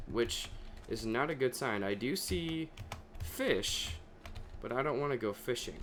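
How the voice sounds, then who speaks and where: A young man chatting casually over his gameplay, his voice deeper than usual and talking to himself as he plays. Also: fairly bright tone, slight background noise. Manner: chatty, talks to himself while playing. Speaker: gaming video creator.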